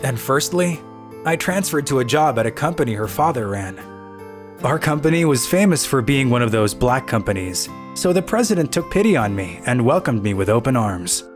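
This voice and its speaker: Man acting as overworked young office worker, flustered, self-deprecating, medium pitch, manga dub, background music. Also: sing-song, normal speed, natural voice. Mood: reflective. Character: flustered, self-deprecating overworked young salaryman narrating his own story. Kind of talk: manga story narration and dialogue acting. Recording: music under the voice.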